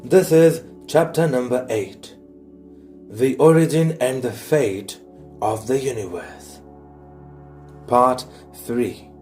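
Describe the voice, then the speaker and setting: A man reading a science audiobook aloud in a measured, explanatory way. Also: mid-range voice, darkish tone, soft background music. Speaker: audiobook reader. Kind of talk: scripted narration, reading a science book aloud.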